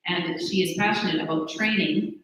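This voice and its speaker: A host introducing the next speaker at a conference, in a warm, formal manner. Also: mid-range voice, fairly even intonation, normal speed. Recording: echoey hall with faint noise.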